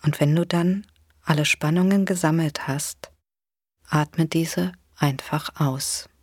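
A woman leading a guided imagery relaxation exercise in a soothing, gentle, unhurried way with long pauses. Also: sits low, fairly even intonation, breathy edge, no echo, studio quality. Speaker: guided meditation instructor.

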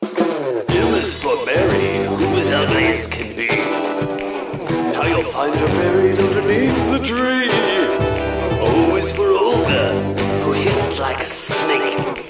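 A man's voice: on the high side, sing-song, takes it slowly, full character voice. A man performing a gleefully deranged serial killer in a half-spoken, sing-song novelty horror song, gloating theatrically. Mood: gleeful, menacing. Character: gleefully deranged male serial killer named Rudy, singing. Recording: echoey, distant, over rock music.

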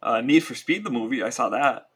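Bright-voiced man in casual, opinionated chat. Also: mid-range voice, lively intonation, fairly quick, husky. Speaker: podcast co-host. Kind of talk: casual chat about films.

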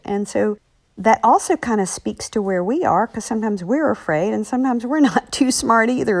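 A woman's voice: mid-range voice, darkish tone. A woman speaking as an author answering listener letters, in a chatty, encouraging manner. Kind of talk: friendly listener question segment.

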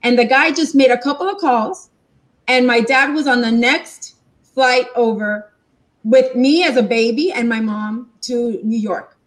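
A woman's voice: fairly high pitch, unhurried, rough recording. A woman from Cuba, a guest on a talk show, telling her family's story in a casual interview.

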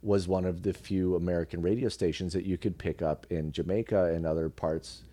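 Deep-voiced man in a reflective interview. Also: steady tone, brisk, mellow, no echo. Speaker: podcast guest, radio personality and actor. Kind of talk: casual conversational interview.

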